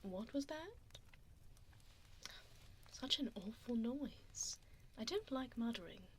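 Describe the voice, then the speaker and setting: A woman playing a cruel, haughty vampire hostess in an ASMR roleplay, speaking in breathy whispers and soft voice with condescending, formal diction, close to the microphone. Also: on the high side, big pitch swings, slow pace, a little hiss or hum. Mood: cold, scolding. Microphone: close binaural microphone.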